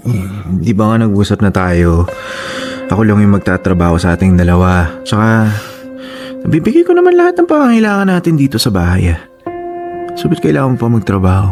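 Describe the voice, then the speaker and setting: An actor with a Filipino accent playing a proud, stubborn young husband in a Tagalog radio drama. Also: male voice, fairly low pitch, sing-song, fairly quick. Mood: defensive. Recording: music under the voice.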